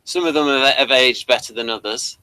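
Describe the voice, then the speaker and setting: A British man hosting a casual online review chat in a rambling, halting way. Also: medium pitch, sharp tone, clear, resonant tone, no room sound, poor audio. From Britain. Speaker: host of online hangout. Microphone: webcam livestream setup.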